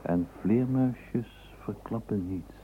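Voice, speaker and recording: A man narrating a literary radio play in a measured, dramatic storytelling manner. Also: lowish voice. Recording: tinny, narrowband old radio tape, faint hiss.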